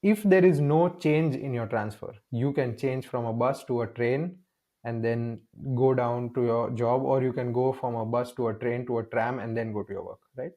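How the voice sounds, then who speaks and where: A young man from India talks through his experiences in a mellow, relaxed voice. He tells stories with energy, acts out the other voices in a conversation, and often restarts his sentences as he goes. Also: mid-range voice, moderate pace. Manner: animated storytelling, acts out dialogue, many restarts. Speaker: guest. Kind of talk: casual conversational interview.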